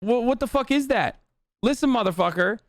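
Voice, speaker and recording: A man's voice: extremely high pitch, animated, no room sound, clean studio sound. A man whose first language is Turkish, ranting heatedly and profanely while reacting on a live stream. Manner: profane, heated, rapid rants. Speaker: Twitch streamer.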